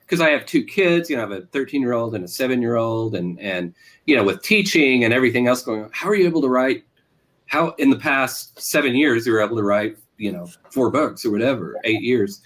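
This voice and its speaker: A middle-aged man answering as a guest author, conversationally, in halting phrases that circle and break off. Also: medium pitch. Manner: halting, circling, self-interrupting. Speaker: author being interviewed, teacher.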